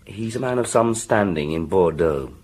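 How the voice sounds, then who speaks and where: Deep-voiced, weary male police detective. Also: animated, moderate pace, darkish tone, husky. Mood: weary, businesslike. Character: matter-of-fact, weary junior police detective, a man. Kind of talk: BBC radio drama acting.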